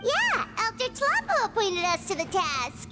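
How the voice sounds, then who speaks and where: A woman's voice: very high voice, huge pitch swings, a little slow, full character voice. A woman voicing a tiny, chatty fairy companion in a video game, childlike, cutesy and eager. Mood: cheerful, curious. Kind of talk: scripted video game dialogue. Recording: game music behind.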